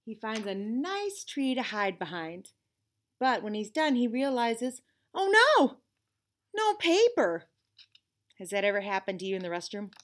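A woman's voice: mid-range voice, very animated, moderate pace. A middle-aged woman teacher reading a picture book aloud to children expressively, with conversational asides. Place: classroom, indoors.

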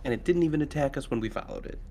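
A man's voice: medium pitch, rapid-fire, mellow. A man playing a tabletop roleplaying game, talking casually as he questions and discusses the plan. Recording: faint background noise.

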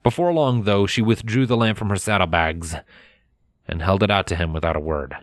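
A man reading scripted fiction as a narrator, measured and expressive in his storytelling. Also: low-pitched, no echo. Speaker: narrator of a fan fiction audiobook. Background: music.

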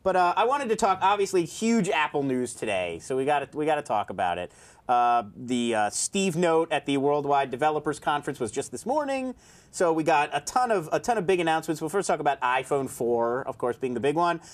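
Lively man hosting a tech chat. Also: on the high side, fairly quick. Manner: animated, hands moving, many restarts. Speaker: host. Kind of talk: casual tech news chat. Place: studio with round table, dark backdrop. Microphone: lapel mic.

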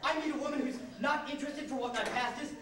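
A young American man acting an earnest, wounded, eccentric groom in a high school stage comedy, emphatic and sometimes halting. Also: fairly even intonation, over a noisy crowd. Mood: pleading indignation. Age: young adult. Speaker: amateur stage actor. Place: indoor school theatre stage. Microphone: far camera microphone. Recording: distant, echoey auditorium videotape with noisy audience.

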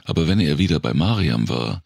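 A man with a deep, rough, gravelly voice reading a dark thriller as dramatic scripted narration. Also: animated, brisk, dry recording, studio quality. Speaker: audiobook narrator.

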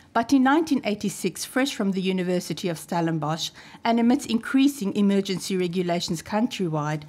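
A South African woman in her fifties, Afrikaans her first language, delivers a prepared lecture from a podium. She reads in a measured, formal way and leans on key phrases for emphasis. Her voice comes through a podium microphone in a wood-panelled hall. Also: mid-range voice, a little mellow. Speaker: archivist giving a talk.

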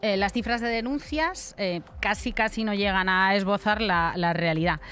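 A middle-aged woman with a Castilian Spanish accent co-hosts a podcast. She comments on the news conversationally, in colloquial language, speaking at a medium pitch into a close studio microphone. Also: moderate pace, a bit bright, faint music behind. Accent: Castilian Spanish from Spain. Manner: informal, colloquial. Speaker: podcast co-host. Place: radio-style podcast studio. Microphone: close studio microphone on desk.

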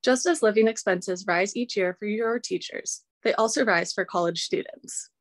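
A woman's voice: medium pitch, normal speed, no echo. A young woman, a college student, earnestly reading a prepared public comment over a video call. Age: young adult.

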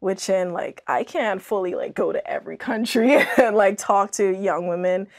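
A woman's voice: mid-range voice, moderate pace. A young woman from the Bahamas talking casually and reflectively as an interview guest, often saying "like" as she explains. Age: young adult. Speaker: podcast guest, author. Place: indoor podcast studio, seated in armchair.

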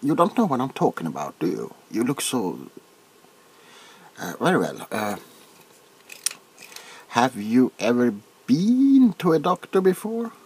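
A middle-aged man playing a nervous, bewildered, awkwardly polite doctor in a soft-spoken comedic ASMR roleplay, stammering and repeating himself. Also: medium pitch, huge pitch swings, unhurried, long pauses, a little hiss or hum. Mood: hesitant, puzzled. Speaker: ASMR performer.

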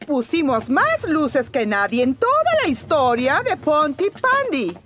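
A woman's voice: sits high, big pitch swings, a little slow, a bit bright, a little hiss or hum, full character voice. A woman playing an exasperated, easily flustered shopkeeper mother in a Latin American Spanish cartoon dub.

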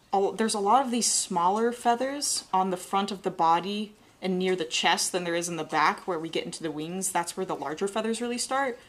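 Young woman with a Southern accent teaching drawing. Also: fairly low pitch. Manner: relaxed, explains step by step while sketching, self-correcting. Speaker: art instructor. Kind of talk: live drawing demonstration. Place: studio with an overhead desk camera.

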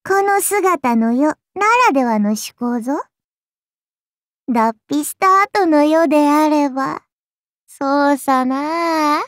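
Childlike serpent-god oni, anime game character, haughty and teasing, archaic lordly speech, unhurried, close dry recording. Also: female voice, sits high, dry recording. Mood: indulgent, teasing.

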